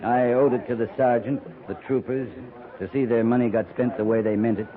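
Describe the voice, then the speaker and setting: An actor playing a dutiful, restrained cavalry captain, measured and courteous, in a 1950s radio western. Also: male voice, medium pitch, fairly even intonation, a crowd in the background, natural voice. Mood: restrained, earnest. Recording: tinny, noisy 1950s radio recording.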